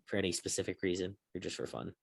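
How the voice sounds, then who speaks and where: Deep creaky guy hosting a sports podcast, casual, asks lots of questions. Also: level tone, rapid-fire. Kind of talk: casual chat, posing questions to co-hosts.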